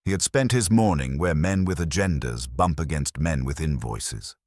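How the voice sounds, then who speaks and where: Very deep-voiced male detective-story narrator. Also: expressive, moderate pace, darkish tone, no room sound, clean studio sound. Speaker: audiobook narrator. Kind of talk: scripted first-person detective story narration.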